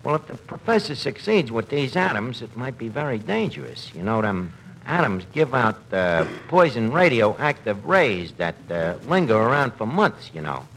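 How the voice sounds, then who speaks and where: A man's voice: medium pitch, very animated, normal speed, fairly bright tone. A man playing a cocky, bumbling amateur detective in old radio comedy, mangling his grammar with great self-importance. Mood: self-important. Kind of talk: 1940s radio comedy acting before an audience. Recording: noisy old radio broadcast recording.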